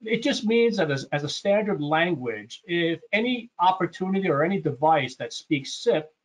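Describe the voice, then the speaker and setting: A man's voice: a little high, expressive, normal speed. A man hosting a product webinar, explaining slides in an informal, conversational way and often repeating his phrases. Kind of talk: informal slide presentation to an online audience. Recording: dull, muffled webinar audio.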